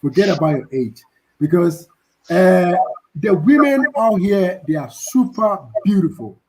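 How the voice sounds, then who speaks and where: A man hosting a casual livestream, answering viewer questions in a relaxed, repetitive, chatty way. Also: sits high, unhurried, slightly rough, poor audio. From Africa. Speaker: livestream host.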